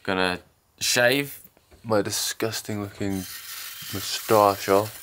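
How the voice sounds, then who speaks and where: Young male vlogger, lowish voice, casual and self-deprecating, handheld-camera sound from a snowy cabin. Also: steady tone, slow, fairly bright tone, a little hiss or hum. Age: young adult. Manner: chatty, self-deprecating, explains as he goes. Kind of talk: casual vlog narration. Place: snowy mountain cabin, indoors and out. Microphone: handheld camera at arm's length.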